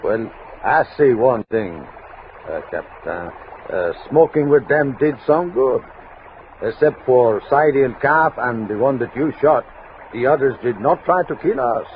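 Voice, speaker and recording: An actor playing a thoughtful, deferential frontier scout with a hesitant, measured delivery. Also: male voice, mid-range voice, lively intonation, natural voice. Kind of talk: 1960s radio western acting. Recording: tinny vintage 1960s radio recording, faint hiss.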